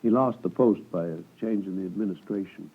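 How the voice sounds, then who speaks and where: An actor playing a deferential, fussy law clerk in a 1950s radio drama, formal, hesitant and obsequious. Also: male voice, low-pitched, brisk, husky, soft background music. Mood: hesitant, regretful. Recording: tinny vintage 1950s radio broadcast.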